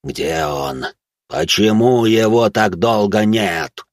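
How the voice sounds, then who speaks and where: A huge monstrous man acted by a narrator growls in a low voice. He broods and strains with impatience, groaning as if tormented, in dark audiobook style. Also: lively intonation, slow, dry recording, studio quality. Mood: growling impatience. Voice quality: growling, wheezy.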